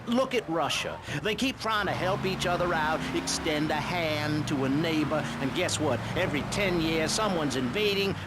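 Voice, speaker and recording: A man's voice: on the high side, moderate pace, hardly any pauses, close to an everyday voice. An actor playing a pompous, selfish male pastor preaching with great self-assurance on a satirical game radio panel. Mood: smug, preachy. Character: smug, pompous, selfish male pastor promoting his book. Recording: loud street and car noise behind.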